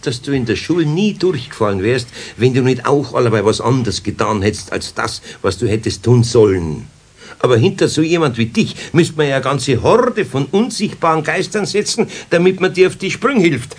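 An actor playing a gruff but kindly older master craftsman in a children's radio play, husky, with a Bavarian accent and a folksy, gently scolding way of talking. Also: medium pitch, expressive, moderate pace. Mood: gently lecturing.

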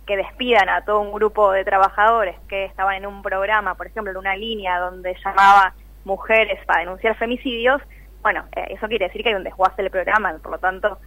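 An Argentine woman explains things in a spontaneous radio interview, earnest and conversational. Her voice sits a little low for a woman, and the sound is very dry, with no room around it. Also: steady tone, a little fast, fairly bright tone, no room sound, muffled recording. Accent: Argentine, Rioplatense. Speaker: interviewee, layoff-tracking activist.

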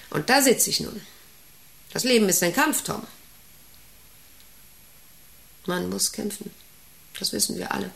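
An actress playing a middle-aged, self-important and intrusive company director in a radio play, rambling and domineering with a creaky voice. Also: female voice, medium pitch. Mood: self-satisfied, rambling. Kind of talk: radio play monologue and dialogue.

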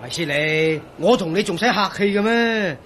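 Sociable, jokey buddy, high male voice, Hong Kong Cantonese radio drama, faint background noise. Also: normal speed, a bit bright. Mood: delighted. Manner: effusive, chatty, jokey. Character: eager, sociable male friend and host.

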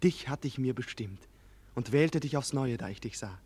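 A man's voice: medium pitch, animated, moderate pace, slightly rough. An actor playing a tender, impassioned young nobleman in despair, declaiming emotionally in a 1940s German radio play. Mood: grieving, tender. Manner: emotional, declamatory, bursting from subdued grief into loud outcries. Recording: vintage 1940s radio studio recording.

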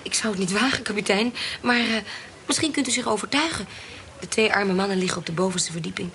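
A woman's voice: mid-range voice, fast, fairly bright tone, a little hiss or hum, close to an everyday voice. An actress playing a cunning, deferential lady of a country house in a Dutch historical radio play, speaking politely with feigned meekness. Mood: deferential, pious.

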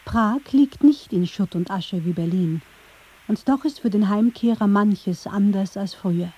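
A woman reading scripted documentary narration in a measured, factual storytelling manner. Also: medium pitch, dark tone, husky. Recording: slightly dull, band-limited sound.

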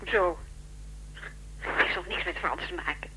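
An actress playing an aloof, mocking twenty-year-old daughter in a radio play, answering curtly. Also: female voice, fairly low pitch, a little slow, natural voice. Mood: cool, curt. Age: young adult. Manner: curt, mocking. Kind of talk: Dutch radio drama acting. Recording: noisy, humming radio play recording.

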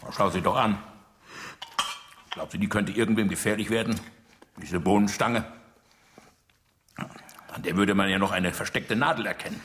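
A man's voice: lowish voice, normal speed, long pauses, fairly bright tone, a little hiss or hum, lightly acted. An actor playing an older, menacing dictator in a radio drama, mocking and commanding as he interrogates. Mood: curt, commanding.

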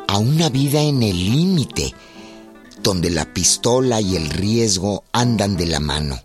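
Slow, low-voiced male narrator. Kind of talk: scripted documentary narration. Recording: guitar music behind the voice.

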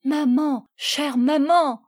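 A woman voicing an affectionate, excitable young daughter, eager and gushing, in a storybook reading. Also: high-pitched, slow pace. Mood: overjoyed.

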